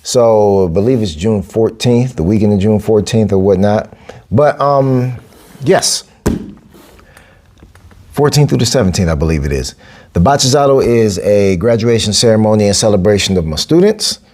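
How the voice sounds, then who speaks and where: A man, talking through a casual solo podcast. He sounds like a coach laying things out plainly, repeating phrases and leaping up in pitch to stress a point. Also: expressive, moderate pace, darkish tone. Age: 40s. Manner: emphatic, repeats phrases, big pitch jumps for emphasis. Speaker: podcast host, boxing and capoeira coach.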